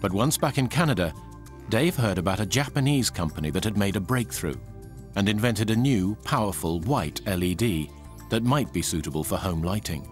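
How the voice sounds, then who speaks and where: A man narrating a documentary in a measured, scripted delivery. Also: lowish voice, animated. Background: music.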